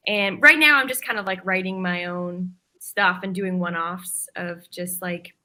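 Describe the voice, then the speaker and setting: Bright, breezy woman chatting informally. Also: fairly low pitch, measured pace. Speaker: writer and voice actor, panel guest. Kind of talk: casual roundtable chat.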